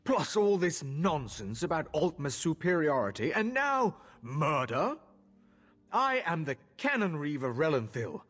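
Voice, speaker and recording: Elven official, anguished father, husky, highish voice, widely swinging pitch, formal, fantasy game, long hall reverb. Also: sing-song, fairly bright tone, heavily acted. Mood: troubled. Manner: slow, formal, emotional. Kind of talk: video game character acting.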